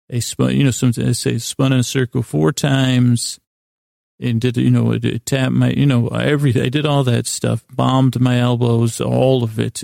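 A man with a mellow, creaky voice hosts a bedtime podcast. He rambles from one aside to the next, stumbling, restarting and circling back over his own words. The sound is dry and close, with gravel in the voice. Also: mid-range voice, no echo. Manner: halting, stumbling, repeats himself, loses his thread. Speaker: podcast host. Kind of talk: rambling, digressive bedtime monologue.

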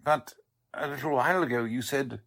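A man acting a fussy, smug company man with a crooked side in a 1940s-style radio drama, his voice husky. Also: mid-range voice, a little fast, a bit bright. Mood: fussy, flustered. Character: fussy company man who is secretly a smug crime boss.

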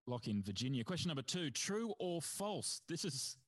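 A man's voice: on the high side, sing-song, fairly bright tone. A man hosting a comedy quiz in a playful, casual way. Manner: playful, quick to laugh. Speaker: quiz host.

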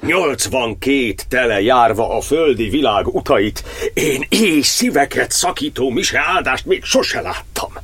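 A man's voice: medium pitch, lively intonation, a bit bright, slightly rough. An actor playing a fervent, grieving churchman, declaiming an impassioned poetic monologue in a radio drama. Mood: fervent, exalted. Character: fervent, grieving churchman preaching faith amid war.

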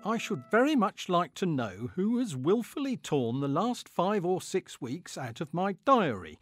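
A man's voice: high-pitched, sing-song, a little slow, no room sound, faint music behind, pitched above the performer's own voice, heavily acted. A man playing a pompous, fussy, middle-aged Victorian clerk who is easily affronted, in a comic dramatic diary reading. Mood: indignant. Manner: pompous, indignant, self-satisfied.

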